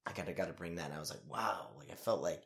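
Rambling energetic young man, lowish voice. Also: rapid-fire, creaky. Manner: energetic, rambling, frequent fillers and swearing. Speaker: actor. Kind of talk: casual interview.

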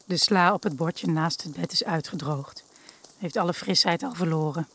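A woman's voice: on the low side, normal speed, a bit bright, husky. A woman narrating an audio drama in the first person, reflective and intimate in her storytelling. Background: music.

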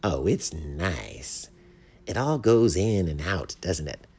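A man's voice: deep voice, animated, slow pace, a little mellow, husky, heavily acted. A man voicing an eager, curious, chatty little chipmunk in a children's storybook. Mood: pleased, surprised. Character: eager chatty pleased chipmunk, small forest animal. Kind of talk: children's storybook read aloud.

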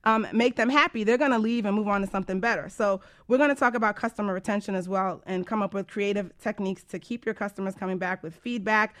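A woman's voice: medium pitch, fairly bright tone. A woman hosting a casual talk radio show as a coach, chatty, informal and emphatic.

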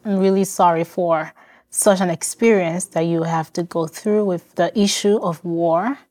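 Mellow-voiced woman hosting a podcast. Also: lowish voice, fairly even intonation, measured pace. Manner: polite, gently formal questions. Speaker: podcast host.

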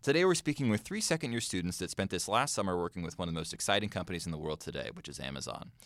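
A man's voice: low-pitched, lively intonation, fairly bright tone. A man hosting a business school podcast, chatting casually and teasing his guests. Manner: playful, teasing, quick interjections. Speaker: podcast host. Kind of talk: casual interview chat.

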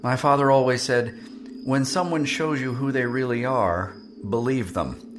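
An actor playing a blunt, world-weary, skeptical former senator in an 1865 historical audio drama. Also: male voice, medium pitch, a little slow, music in the background, close to an everyday voice. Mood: grave, warning. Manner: blunt, grave.

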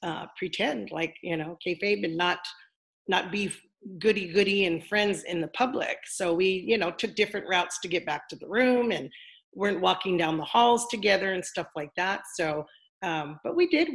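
Older woman, deep and gravelly, chatty interview guest, rambling with fillers. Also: normal speed. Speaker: former wrestler, interview guest. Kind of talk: casual interview.

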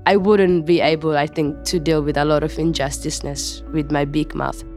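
A young woman from Somalia with a Somali accent, telling personal stories casually in informal, halting phrases. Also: low voice, steady tone, a little mellow, soft background music. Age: young adult. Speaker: interview guest, student and storyteller. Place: studio.

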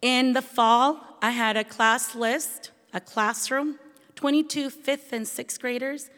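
Woman telling her story, kinda high voice, echoey hall sound. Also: fairly even intonation, slow pace, a bit bright, faint music behind. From Venezuela. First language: Spanish. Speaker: bilingual teacher. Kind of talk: scripted personal storytelling. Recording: long hall reverb.

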